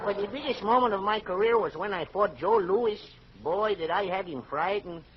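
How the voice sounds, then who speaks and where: A man's voice: high voice, normal speed, lightly acted. Man acting a bumbling, polite Mexican radio character. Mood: deadpan joking. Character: genial, bumbling Mexican man, hapless ex-boxer. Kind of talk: 1940s-50s radio comedy acting. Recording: noisy, muffled vintage radio recording.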